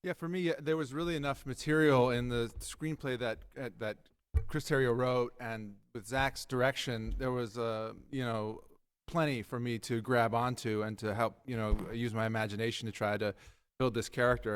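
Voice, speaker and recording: A man answers a press question as an actor, in measured, hesitant speech filled with small pauses. His voice is mid-pitched and plain. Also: fairly even intonation, clean tone.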